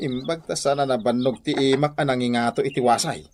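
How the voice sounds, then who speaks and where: An actor with an Ilocano accent playing a boisterous, teasing village drinker in a radio comedy drama. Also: male voice, mid-range voice, moderate pace, natural voice. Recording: noisy radio drama track.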